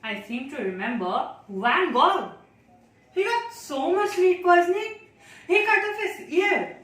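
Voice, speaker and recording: A woman performing a theatrical monologue as an anxious, chatty, naive child, talking to themself in a dramatic, deliberate way. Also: very high-pitched, expressive, fairly bright tone, full character voice. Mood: fussy, fretful. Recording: very echoey room, distant mic, faint noise.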